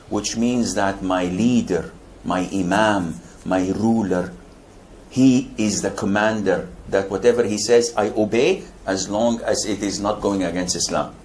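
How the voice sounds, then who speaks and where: Older Saudi man preaching, low voice. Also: darkish tone, slight background noise. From Saudi Arabia. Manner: wry jokes, emphatic rises in pitch. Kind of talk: lecture Q&A answer.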